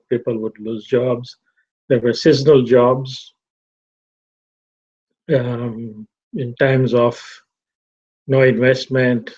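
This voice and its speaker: A middle-aged man from Tanzania giving a reflective talk to a fellowship, measured and repetitive. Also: medium pitch, darkish tone, husky. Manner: slow, measured, repeats phrases. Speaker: fellowship speaker. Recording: dull lo-fi recording.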